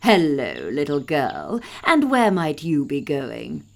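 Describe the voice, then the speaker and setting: A woman voicing a mean, sly, greedy male wolf in a children's fairytale, with a deep, wheedling, falsely courteous delivery. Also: wildly swooping pitch, slow pace, vocal fry, full character voice. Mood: sly, coaxing. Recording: music under the voice.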